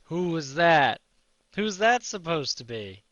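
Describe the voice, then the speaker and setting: A man's voice: a little high, big pitch swings, slow pace, a bit bright, dry recording, highs cut off. A man reacting casually to video game cutscenes as a streamer, in short offhand comments.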